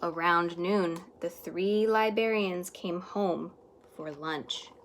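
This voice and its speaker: A woman teacher reading a children's story aloud expressively, stretching words and hushing some for emphasis. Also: lowish voice, slow pace, slight background noise.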